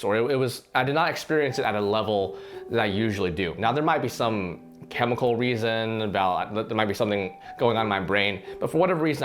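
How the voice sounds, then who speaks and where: A slightly hoarse man talks to camera with an animated, lively pitch that swings up and down. He sounds earnest and a bit rambling, restarting his sentences often. A faint guitar plays softly underneath. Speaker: fitness channel host. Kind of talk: casual talking-to-camera reflection.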